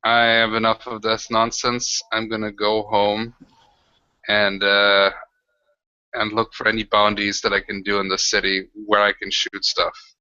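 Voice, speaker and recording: A man playing a tabletop game who describes his character's actions in a halting way, full of fillers. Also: fairly low pitch, monotone, bright, forceful tone, smooth, dry recording, lo-fi sound. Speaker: player. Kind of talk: casual tabletop roleplay chat.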